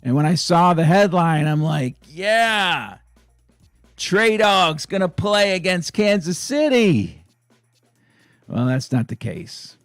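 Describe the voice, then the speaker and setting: A hoarse man hosting a sports fan channel, chatting casually and excitedly about his team's week. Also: sits high, slow pace, no room sound, clean studio sound, faint music behind. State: hoarse, raspy voice. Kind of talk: casual fan vlog chat.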